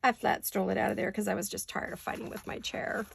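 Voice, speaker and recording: A middle-aged woman chats as she crafts at her desk in a home studio, wandering from one aside to the next and poking fun at herself. Her voice has a gravelly fry, and a faint hiss sits under the recording. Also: medium pitch, level tone, quick pace. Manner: rambling, self-deprecating, talks while working. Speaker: craft vlogger. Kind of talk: chatty craft tutorial.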